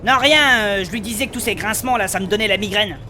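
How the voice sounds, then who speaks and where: A small, naive companion from a comic fantasy adventure, put on by an actor. The voice is bright and forward, casual and cheeky. Faint train ambience runs behind it. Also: mid-range voice, quick pace, a little hiss or hum, close to an everyday voice. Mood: evasive. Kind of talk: comic fantasy audio drama acting.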